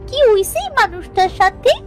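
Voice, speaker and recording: A woman's voice: very high voice, expressive, moderate pace. A woman voicing a curious young villager in a cartoon, wondering and childlike. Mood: startled wonder. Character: curious, startled young villager addressing father. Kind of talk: cartoon story acting. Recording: background music under voice.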